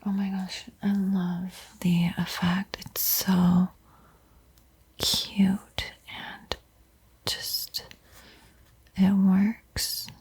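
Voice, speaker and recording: A woman giving a gentle, encouraging art tutorial in a soft, breathy ASMR whisper. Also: medium pitch, little pitch movement. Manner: slow, gentle, encouraging instruction. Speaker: host teaching a beginner painting tutorial.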